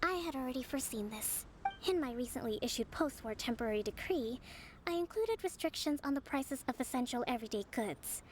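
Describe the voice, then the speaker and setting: A woman playing a gentle, composed young military strategist in a video game, speaking in measured, formal phrases. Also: high-pitched, lively intonation, slight background noise. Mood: assured, analytical. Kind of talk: scripted video game character acting. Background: background music.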